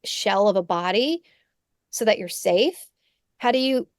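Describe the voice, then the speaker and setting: A woman's voice: mid-range voice, expressive, unhurried, no echo. Woman, forties, therapist host, reflective and searching, dry close home sound. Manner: thoughtful, searching, frequent restarts and "right?". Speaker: podcast host and psychotherapist. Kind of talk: reflective conversational interview. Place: home room. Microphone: headphones on a video call.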